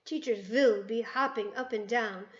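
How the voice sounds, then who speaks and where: A woman voicing a ruthless chief witch who speaks in clipped, broken grammar and gloats loudly. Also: fairly high pitch, measured pace, lightly acted. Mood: gloating. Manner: gloating, triumphant shouting. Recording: roomy, dull lo-fi sound.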